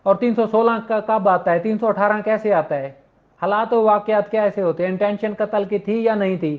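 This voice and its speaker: A man's voice: high-pitched, steady tone. A man with a Pakistani accent giving an informal law lecture in a conversational, questioning way. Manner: conversational, poses rhetorical questions, acts out courtroom exchanges; switches between Urdu and slowly read English legal text. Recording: dull, lo-fi muffled audio.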